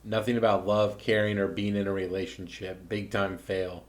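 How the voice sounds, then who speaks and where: A man's voice: on the low side, little pitch movement, moderate pace. A man hosting a show, giving blunt, dismissive commentary on recordings. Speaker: show host.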